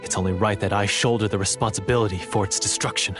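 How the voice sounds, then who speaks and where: A man's voice: on the low side, steady tone, quick pace, over music, natural voice. A man voicing an earnest, self-sacrificing character in a game, determined and formal as he seeks to restore his honour. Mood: grave, determined. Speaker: game voice actor. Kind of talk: scripted game drama.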